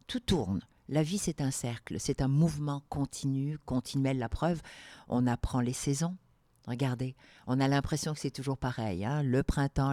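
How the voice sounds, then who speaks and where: An older woman from Morocco and Algeria, speaking as an interview guest in a calm, measured way with emphatic repetitions. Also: extremely low pitch, dry recording. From born in Morocco, grew up in Algeria. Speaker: guest, entrepreneur. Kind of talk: reflective interview talk.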